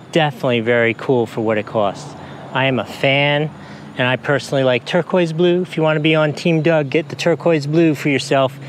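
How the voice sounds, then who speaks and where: Higher-voiced American man reviewing gear outdoors. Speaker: product reviewer, video host. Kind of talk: casual unscripted review to camera. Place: mostly outdoors in a driveway and street. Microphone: clip-on lapel mic. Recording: clear outdoor background noise, passing vehicles.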